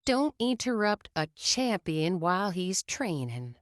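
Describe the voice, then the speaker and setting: A woman voicing a cocky young male race car who speaks in a slow, smug drawl. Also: fairly low pitch, big pitch swings, dry recording, studio quality.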